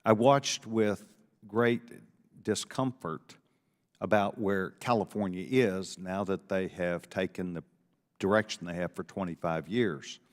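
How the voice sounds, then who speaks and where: A man's voice: low-pitched, steady tone, a little slow. A man questioning witnesses at a committee hearing, pressing and repeating himself as he interrupts. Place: large hearing room. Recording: hearing room with long reverb.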